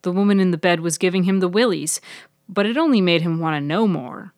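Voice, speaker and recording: A low-voiced woman narrates scripted fiction for a podcast. Her voice is recorded close and dry, with no room around it. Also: a little fast, darkish tone, no echo.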